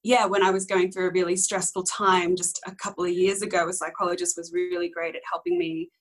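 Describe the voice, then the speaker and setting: A woman in her twenties, whose was Korean, speaks with a slightly low voice. She tells her story candidly in a casual interview, wandering and correcting herself along the way. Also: little pitch movement, brisk. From Australia. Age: young adult. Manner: rambling, candid, many ums and self-corrections. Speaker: interview guest.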